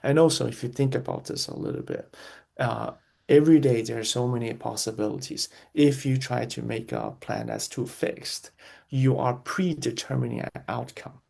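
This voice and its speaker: Man, heavy vocal fry, breathy husky tone, reflective interview guest, repeats phrases, restarts sentences. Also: medium pitch, big pitch swings, normal speed, mellow. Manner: earnest, repeats key phrases, frequent restarts.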